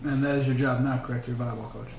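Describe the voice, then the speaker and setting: Guy asking short quick questions, talks fast, echoey room w faint hiss. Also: medium pitch, steady tone, vocal fry, darkish tone, far from the mic. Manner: brisk, short prompting questions. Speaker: interviewer. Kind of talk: casual interview questions.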